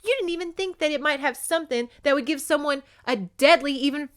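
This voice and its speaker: A spirited young woman held captive talks back in high, sarcastic, exclaiming lines, as a woman reading a novel puts her on. Her voice is smooth and clean. The sound is dry and close. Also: sing-song, moderate pace, a bit bright, no room sound. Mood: furious.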